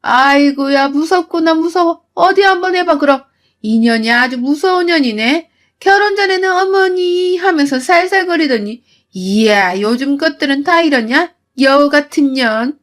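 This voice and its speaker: A woman voicing a nagging, spiteful middle-aged mother-in-law who scolds and sneers in a shrill voice. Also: expressive, moderate pace, a bit bright. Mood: sneering. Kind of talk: storytelling with acted voices.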